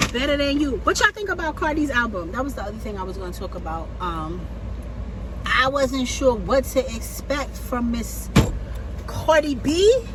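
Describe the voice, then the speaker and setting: A woman's voice: medium pitch, background noise. A woman in her forties talking casually to her viewers from a car, chatty and slangy, sounding congested and nasal from a cold. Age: 40s. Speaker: vlogger. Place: in a parked car.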